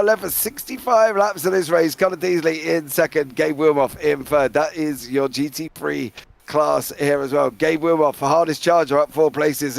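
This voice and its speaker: A man with a British accent calling a live sim racing broadcast, excitable play-by-play that rattles off driver names. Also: a little high, vocal fry. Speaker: race commentator.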